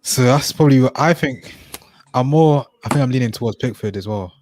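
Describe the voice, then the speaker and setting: A man's voice: mid-range voice, brisk, a little mellow, no echo. A young man, podcast co-host, steering a casual football chat and asking the others questions. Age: young adult. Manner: steers the discussion, asks questions.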